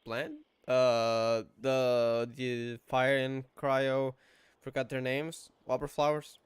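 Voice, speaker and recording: A man casually reacting and thinking aloud while playing a game, relaxed and chatty. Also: mid-range voice, slow, clean tone, dry recording. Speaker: gaming streamer. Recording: voice over game music.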